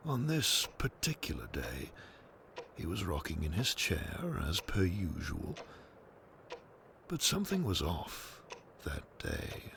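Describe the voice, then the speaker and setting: A man with a gravelly voice reading scripted storybook narration for an audio drama in a slow, measured manner. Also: deep voice, fairly bright tone, a little hiss or hum.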